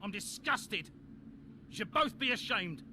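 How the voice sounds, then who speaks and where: High-pitched, commanding racing boss. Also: male voice, big pitch swings, a little slow, noisy background. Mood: furious, scolding. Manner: blunt, commanding, scolding. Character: hot-tempered middle-aged male racing team boss. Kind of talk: cinematic video game dialogue.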